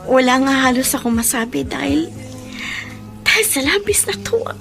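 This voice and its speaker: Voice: a bashful young woman in a radio drama. She is soft, polite and fairly high-pitched, with music behind her. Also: animated, moderate pace, gravelly, exaggerated voice. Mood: shy.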